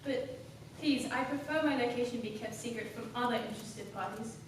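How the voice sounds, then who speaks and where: A coldly self-possessed, cunning woman played by a young student actress delivers a stage monologue in a highish voice. Her delivery is formal, deliberate and dramatic. It is captured from a distance in a very echoey room with clear background noise. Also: lightly acted. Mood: cool, confiding. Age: young adult. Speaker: student actor. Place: classroom performance in front of class.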